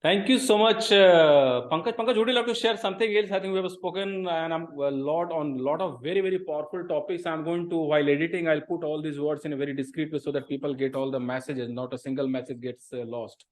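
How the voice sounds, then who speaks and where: A middle-aged man with an Indian English accent hosts a video-call interview. He talks quickly in a somewhat high voice and is generous with praise and thanks. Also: rough recording. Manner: effusive, lavish with praise. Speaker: host.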